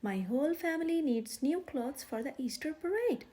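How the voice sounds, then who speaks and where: A woman voicing a kindly, proud mother bear in a children's storybook, with a gentle, encouraging manner. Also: sits high, lively intonation, normal speed.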